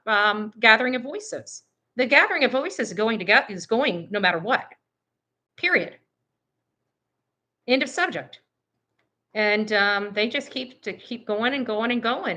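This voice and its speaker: Woman on a livestream, bright forceful voice, blunt and combative, repeats stuff for emphasis, casual rambling to viewers. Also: mid-range voice. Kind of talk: casual livestream monologue, reading and answering chat comments.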